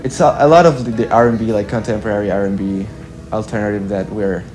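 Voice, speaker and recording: Low-voiced young man. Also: fairly even intonation, a little mellow, faint music behind. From Chile. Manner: reflective, halting, with frequent "like" and "kinda". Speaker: musician being interviewed. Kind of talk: casual interview answers.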